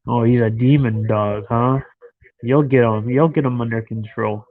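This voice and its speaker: A man's voice: medium pitch, fairly even intonation, normal speed, no echo. Stuffed-up man chatting on a phone stream. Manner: rambling, chatty, talks to viewers by name. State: stuffed-up nose. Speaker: live streamer chatting with viewers. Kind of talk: casual live stream chat. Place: in bed at home. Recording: dry, phone-like lo-fi sound.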